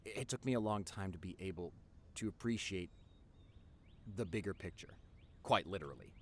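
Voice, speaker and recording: A man's voice: low voice, normal speed, slight background noise, close to an everyday voice. A man playing a nerdy, introverted young boyfriend in an intimate audio roleplay, earnest and rambling with a nervous stammer. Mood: earnest, wistful. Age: young adult. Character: nerdy introverted young boyfriend, wacky, tender, passionate artist.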